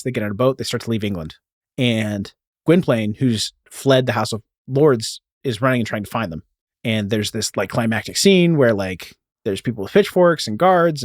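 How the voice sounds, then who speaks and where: A man's voice: mid-range voice, no echo, studio quality. A man hosting a podcast, telling a story in a casual, informal way peppered with "like" and "you know.". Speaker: podcast host.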